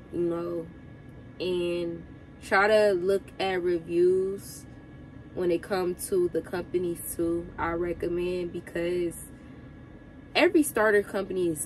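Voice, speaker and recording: A young woman truck driver giving casual advice to her viewers, sounding congested from a cold, chatty and relaxed. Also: lowish voice, a little hiss or hum, low-quality recording.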